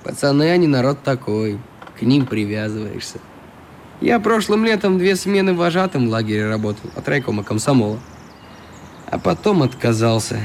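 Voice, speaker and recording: A man's voice: mid-range voice, normal speed, lightly acted. A man playing a good-natured, plain-spoken young boatman in a radio play. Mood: heartfelt. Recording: light steady background noise.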